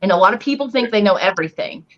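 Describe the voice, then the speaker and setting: A woman's voice: mid-range voice, animated, rapid-fire, a bit bright. A woman speaking earnestly and emphatically in a casual panel talk, as a paranormal investigator and healer. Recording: dull, lo-fi online audio.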